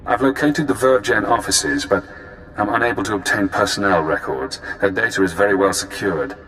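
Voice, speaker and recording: An actor playing a composed, analytical spy handler in a video game, speaking in a polite, measured, formal way. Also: male voice, fairly bright tone, lightly acted. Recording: echoey, with faint low rumbling noise.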